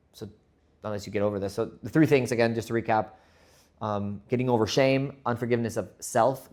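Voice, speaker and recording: Earnest man from Spain, lowish voice. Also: darkish tone. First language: Spanish. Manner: earnest, repeats words as he restarts. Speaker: podcast host. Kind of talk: motivational monologue.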